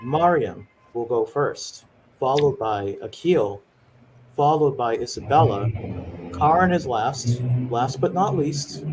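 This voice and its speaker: A man hosts a live online pitch event in a casual, joking way, teasing the speakers he introduces. His voice sits mid-range, with a faint ambience behind it. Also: animated, slow pace, noisy background. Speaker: event host. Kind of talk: casual live-stream hosting.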